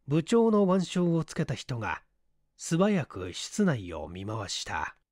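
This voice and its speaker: A man's voice: mid-range voice, huge pitch swings, slow pace, darkish tone, no echo, studio quality. A man reading literary fiction aloud as scripted audiobook narration. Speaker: audiobook narrator, stage actor.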